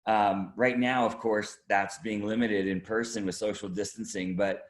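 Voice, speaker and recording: Low-voiced man in an echoey room. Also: level tone. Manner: halting, hedging, conversational explanation. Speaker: marketing director being interviewed. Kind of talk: product preview interview.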